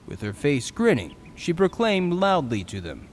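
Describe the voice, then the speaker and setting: Man narrating with a lively, varied pitch. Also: measured pace, slight background noise. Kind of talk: scripted audio drama narration.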